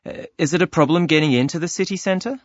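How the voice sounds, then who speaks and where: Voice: medium pitch, fast, dry recording, close to an everyday voice. A voice actor playing a friendly club receptionist who fills in a new member's form, chatting easily with small laughs and ums. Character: friendly office clerk taking a new member's details. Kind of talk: scripted listening-test dialogue. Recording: dry, slightly dull studio recording.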